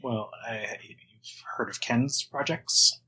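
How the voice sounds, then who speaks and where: A man hosting a casual podcast interview, speaking in halting, thoughtful phrases. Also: mid-range voice, sharp tone, breathy edge, poor audio.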